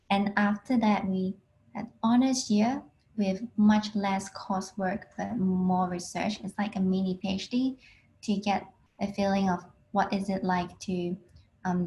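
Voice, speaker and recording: A woman's voice: mid-range voice, mellow, rough recording. Woman PhD student on a video call. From Hong Kong. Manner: plain, unhurried, with frequent ums. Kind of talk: online alumni talk.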